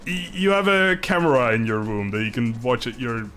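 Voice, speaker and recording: A man's voice: mid-range voice, animated, moderate pace, fairly bright tone, faint music behind. A young man from the Netherlands with a Dutch accent, chatting casually on a live stream, laughing often and stumbling over his words.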